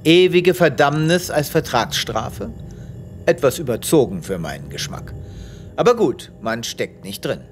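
Pompous, animated space veterinarian. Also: male voice, medium pitch, unhurried, faint music behind. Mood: solemn, self-important. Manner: theatrical, pompous. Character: male space veterinarian, earnest, self-important, devoted to animals. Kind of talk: audio drama acting.

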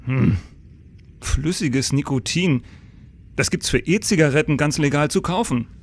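An actor playing a weary, commanding middle-aged police chief in a radio crime drama, brisk with pensive hesitations. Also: male voice, on the high side.

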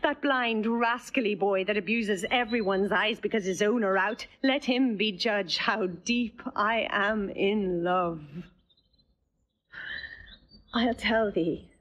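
A woman's voice: medium pitch, fairly bright tone, lightly acted. A woman acting a witty young woman in love, teasing and playful in a stage drama. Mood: passionate. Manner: playful, quick-witted, teasing. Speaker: actor playing Rosalind.

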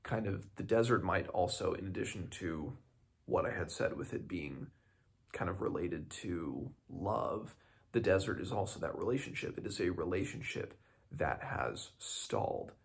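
A man's voice: sits low, animated, moderate pace, vocal fry, breathy edge. A man in his twenties giving a casual, unscripted book review to camera in a small home room, thinking aloud hesitantly and often restarting his sentences. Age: 20s.

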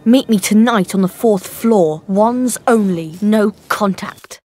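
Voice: medium pitch, takes it slowly, darkish tone. An actor playing an arrogant British schoolboy wizard in a video game, taunting and haughty. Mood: challenging. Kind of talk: video game cutscene acting.

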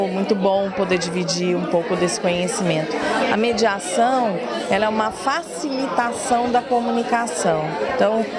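A woman in her forties, a mediation lecturer, giving an interview on a handheld mic in a lecture room, measured and explanatory with brief hesitations. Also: mid-range voice. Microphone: handheld mic, close framing.

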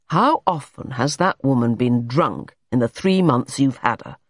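A reader voicing a brusque, irritable man, swooping pitch. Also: animated, a little slow, dry recording, studio quality. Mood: scolding. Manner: brusque, scolding, blunt. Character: irritable, domineering, gruff male painter.

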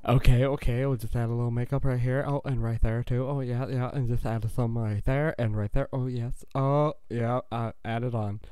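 A fussy cartoon makeup assistant acted out by a man with a smooth, medium-pitched voice. He mutters repetitively and sounds close and dry. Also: fairly even intonation, normal speed, hardly any pauses, warm tone, dry recording, faint music behind, natural voice. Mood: busy, satisfied. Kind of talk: animated fan dub acting.

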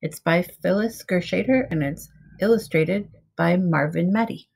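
A middle-aged woman reading a picture book aloud to young children in simple, measured storybook phrasing. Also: on the low side, vocal fry, darkish tone, husky. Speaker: preschool teacher reading aloud. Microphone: webcam at arm's length.

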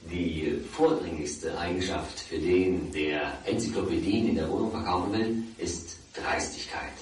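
Radio drama: emphatic, brash sales instructor, very low voice, heard far off through tape playback. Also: male voice, measured pace. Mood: assertive, didactic. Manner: emphatic, didactic. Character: brash male sales trainer on a tape, coldly assertive. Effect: played back from a tape. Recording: distant, echoey, noisy tape playback.

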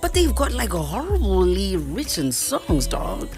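A woman radio host, chatting in an animated way and laughing often. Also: mid-range voice, takes it slowly, faint music behind. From Malawi and Zimbabwe. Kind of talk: lively radio studio chat. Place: radio studio. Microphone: studio microphone.

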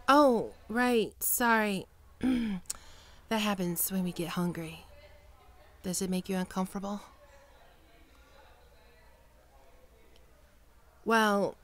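A woman's voice: mid-range voice, gravelly. A woman playing a friendly young female ghoul best friend in an ASMR roleplay, chatty and playful. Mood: casual, reassuring. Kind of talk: ASMR roleplay, acted one-sided dialogue.

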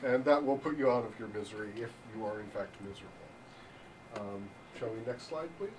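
A young man giving an improvised mock lecture in a deadpan, halting way, full of ums, in a hotel function room. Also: on the low side. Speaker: improv presenter. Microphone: far room camera. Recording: distant voice with room hiss.

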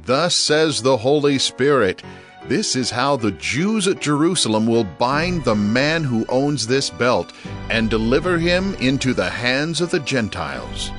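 An actor playing a solemn prophet, declaiming a grave warning portentously in an audio Bible drama. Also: male voice, mid-range voice, big pitch swings, unhurried, a bit bright, faint music behind.

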